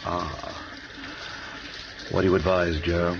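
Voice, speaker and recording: An actor playing a witty, urbane English playwright with an English accent and arch, ornate, drily formal phrasing in a radio drama. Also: male voice, low voice, level tone, slow, creaky, highs cut off, background noise, heavily acted. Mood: dry, wry.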